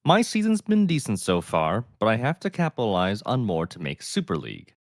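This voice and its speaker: A man with a smooth, soothing voice, commenting calmly and analytically on a card game. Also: a little fast, no echo, clean studio sound. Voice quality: deep, smooth voice. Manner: calm, thoughtful, analytical. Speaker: player and guest commentator.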